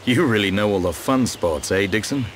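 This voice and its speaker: An actor playing a proud, battle-hardened swordsman in a video game, speaking in a measured, wry and resolute way. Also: lowish voice, lively intonation, slight background noise, natural voice. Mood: dry, wry. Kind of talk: video game cutscene acting.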